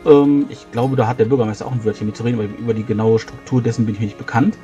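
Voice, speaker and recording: Man, mellow, middle pitch, halting explanations, improvised role-play dialogue, faint music behind. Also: fairly even intonation, dull audio. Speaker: tabletop role-playing player. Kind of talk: in-character improvised game dialogue.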